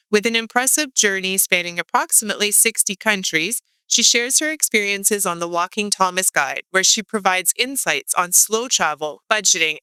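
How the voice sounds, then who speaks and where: A woman's voice: mid-range voice, bright, forceful tone, clean tone, dry recording, low-quality recording. Upbeat woman hosting a podcast. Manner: upbeat, reads intro then chats loosely. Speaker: podcast host. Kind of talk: podcast interview, scripted intro.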